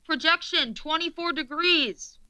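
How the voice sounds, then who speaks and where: A very bright, forceful artillery officer, acted by a woman in a radio play. The voice is high and slow, with clipped, commanding orders called out urgently. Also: heavily acted.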